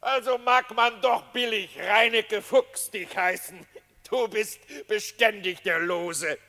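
A man's voice: high voice, fairly even intonation, slow, pushed and bright, full character voice. An actor playing a haughty, amused king of animals in a classic radio play, declaiming verse in a commanding, theatrical way. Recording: long reverberant hall.

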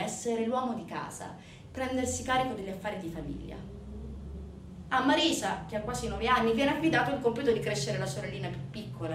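A woman tells a story as a dramatic stage monologue. Her pitch moves in wide, animated swings, with emphatic phrasing and deliberate pauses. She is heard from a little distance in a roomy, echoing space. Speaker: stage actress narrator. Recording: roomy, distant mic, faint background noise.

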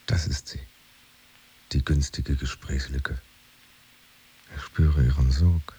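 A man's voice: very deep voice, fairly even intonation, slow pace, mellow, a little hiss or hum, rough recording. A man playing an anxious, secretive unemployed husband figure in a radio drama, confiding his thoughts in a hesitant, brooding monologue. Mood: nervous hesitation. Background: music under the voice.

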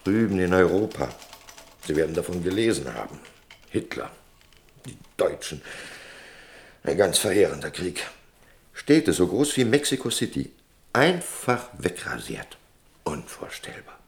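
Radio play role: evasive, pompous male merchant. Very deep, creaky, lecturing and insinuating. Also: sing-song, full character voice. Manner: lecturing, insinuating, drawn-out emphasis. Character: evasive, self-important merchant Don Fernandez. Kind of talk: German radio play acting.

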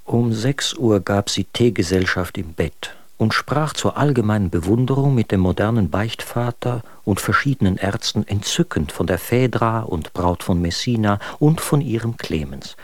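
Male actor as exasperated husband in a radio play, mocking letter-writer, articulate, lowish register, close dry recording. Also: lively intonation, normal speed, few pauses, no room sound, natural voice. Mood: scornful, sardonic. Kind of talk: German radio drama, dramatic reading of letters.

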